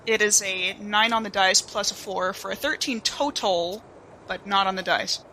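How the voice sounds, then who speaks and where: A woman's voice: mid-range voice, normal speed, pushed and bright, slight background noise, low-quality recording. A woman playing a tabletop game, chatting casually and wryly about her rolls. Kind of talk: casual banter during game play.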